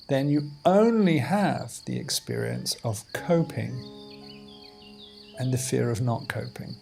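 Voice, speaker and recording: Slow-talking man guiding a meditation over music. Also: medium pitch, vocal fry, warm tone. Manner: measured, deliberate phrasing with pauses. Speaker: meditation teacher. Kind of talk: guided meditation instruction. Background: music and outdoor insect sounds.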